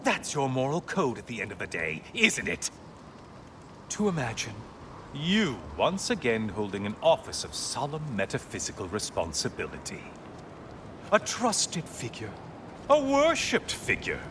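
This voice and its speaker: A man's voice: mid-range voice, wildly swooping pitch, measured pace, noisy background. An actor playing a spiteful, sneering male sun god in a video game, taunting and theatrical. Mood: mocking. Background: game music.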